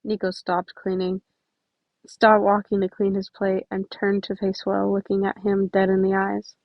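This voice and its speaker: A woman's voice: mid-range voice, monotone, measured pace, no echo. Woman reading fiction aloud, slightly dull recording. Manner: stumbles and rereads phrases. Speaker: amateur podfic reader. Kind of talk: informal story narration.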